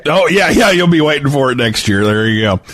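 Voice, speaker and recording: A man's voice: on the high side, expressive, a little fast, fairly bright tone. A man hosting a show in a casual, joking, chatty style. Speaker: podcast host. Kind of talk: casual chat.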